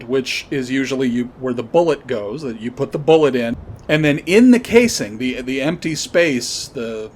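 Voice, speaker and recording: A man's voice: medium pitch, a little hiss or hum. A man delivering an opinionated commentary monologue, emphatically spelling out and repeating his points. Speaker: podcast host commentator.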